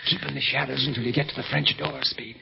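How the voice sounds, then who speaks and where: A male actor playing a steady, protective secret police agent in a 1930s radio serial, explaining and giving orders with authority. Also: medium pitch, fast talker, creaky. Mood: tense, commanding. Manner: commanding, explanatory. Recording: muffled 1930s radio recording.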